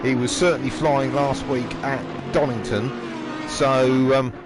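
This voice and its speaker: A man commentating a live sim race, slightly hoarse, chatty with frequent ums and throat clearing. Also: medium pitch, steady tone, measured pace. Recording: noisy background.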